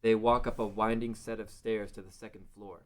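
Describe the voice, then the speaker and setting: A man's voice: lowish voice, flat delivery, fairly quick. A man narrating a scripted fantasy story as the narrator of a table read.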